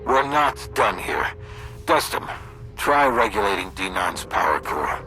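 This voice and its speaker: A man's voice: deep voice, sing-song, unhurried, pushed and bright, soft background music, heavily acted. A man acting a demanding, obsessive survey leader in a video game, commanding and insistent.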